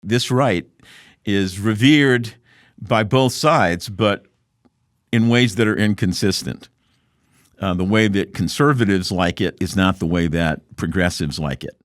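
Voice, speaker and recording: A male law professor explains his views in a deliberate, lecturing way. His voice is very deep. The recording is dry, with no room sound. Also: no room sound. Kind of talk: interview.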